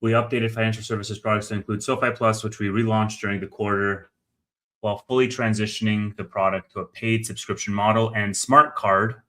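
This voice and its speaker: A man with a fairly low voice and a very bright, forward tone. He hosts a live financial stream in a conversational way, thinking aloud as he goes through the numbers. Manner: conversational, thinking aloud while reading figures. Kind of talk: casual live earnings commentary.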